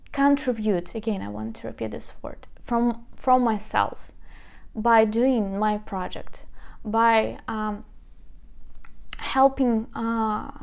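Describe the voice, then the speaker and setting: A young woman whose first language is Ukrainian, a language teacher speaking earnestly to her viewers in hesitant English with many pauses and fillers. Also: on the high side, vocal fry, darkish tone, smooth. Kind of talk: personal talk to camera.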